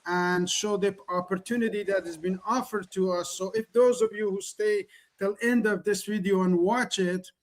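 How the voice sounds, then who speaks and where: A man's voice: high voice, steady tone, normal speed, hardly any pauses, poor audio. A middle-aged man from the Middle East, not a native English speaker, giving an earnest online presentation with rhetorical questions. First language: Kurdish or Persian. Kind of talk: online presentation, motivational pitch.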